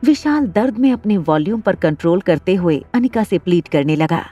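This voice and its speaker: A narrator telling an audio drama in an even storytelling manner. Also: mid-range voice, moderate pace, faint music behind.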